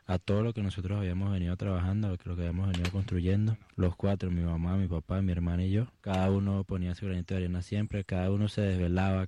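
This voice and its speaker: A man's voice: low voice, warm tone, slight background noise. A young man with a Venezuelan accent, an athlete talking earnestly in an interview, repeating words as he thinks. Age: young adult. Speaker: interviewee, baseball player. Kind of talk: casual interview.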